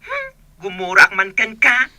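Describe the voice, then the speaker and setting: An envious, teasing gal pal in an Ilocano radio drama. Her high voice is piercingly bright and sharp, with an Ilocano accent and a dry, close sound. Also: huge pitch swings, a little slow, dry recording, exaggerated voice. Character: envious, teasing female friend on a phone call. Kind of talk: Filipino radio drama acting.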